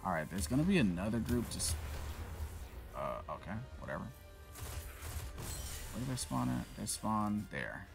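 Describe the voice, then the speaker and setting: Deep gravelly man venting over gameplay. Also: sing-song, normal speed, long pauses, music in the background. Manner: rambling, self-mocking, swears and vents at the game. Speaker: gaming channel host. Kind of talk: casual gameplay commentary.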